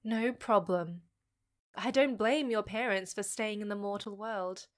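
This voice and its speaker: A woman's voice: mid-range voice, a little slow, natural voice. An actress playing a frank, savvy young half-fae woman, teasing yet supportive, with a wry conversational manner in an audio drama. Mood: reassuring.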